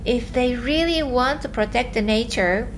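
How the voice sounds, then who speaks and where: A woman from Japan with a Japanese accent, chatting casually on a live stream from home, talking fast and earnestly with halting restarts as she reads and answers viewer comments. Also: a little high, slight background noise.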